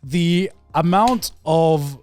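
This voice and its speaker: A man co-hosting a casual gaming podcast, arguing in an animated way and repeating phrases as he pushes his point. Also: fairly high pitch, drawn-out words, faint music behind. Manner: animated, argumentative, repeats phrases to hold the floor. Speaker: podcast co-host. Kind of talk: casual podcast debate about video games.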